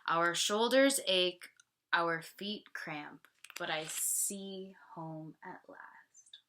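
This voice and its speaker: Woman reading as a wistful, slow-spoken little girl. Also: on the low side, bright, forceful tone, a little hiss or hum, heavily acted. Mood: weary. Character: thirsty, weary little village girl, dreamy young princess. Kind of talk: picture-book read-aloud.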